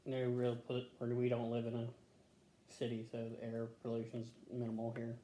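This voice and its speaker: A man answering health questions as a patient, casual and matter-of-fact, pausing to think in short answers. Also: mid-range voice, monotone, dark tone. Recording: echoey room with faint background noise.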